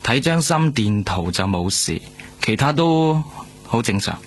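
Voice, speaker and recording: A man acting a calm, reassuring hospital doctor in a radio drama, with a Hong Kong Cantonese accent. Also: mid-range voice, measured pace, a little hiss or hum. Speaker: actor.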